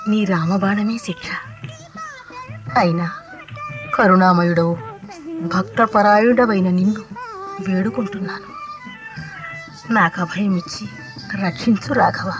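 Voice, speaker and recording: A woman's voice: medium pitch, lo-fi sound, lightly acted. Lady acting as heartbroken epic queen, begging and devout, formal old-style speech, radio play with music behind. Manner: impassioned, supplicating, formal classical diction. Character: grieving widowed queen, humbled and devout, pleading. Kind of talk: 1980s radio mythological drama acting.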